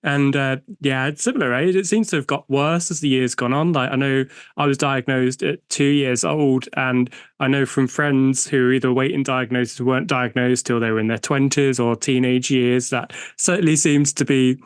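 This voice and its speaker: A British man hosting an interview podcast, friendly and a little halting when he speaks off the cuff. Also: mid-range voice, fairly even intonation, clear, resonant tone, no room sound, clean studio sound. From United Kingdom. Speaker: podcast host.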